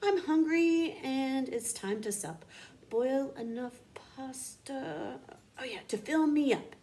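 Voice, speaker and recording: A woman's voice: high-pitched, slow pace, warm tone. A woman voicing an eager, boastful, foolish young man in a storybook, exclaiming gleefully. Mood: sly, eager.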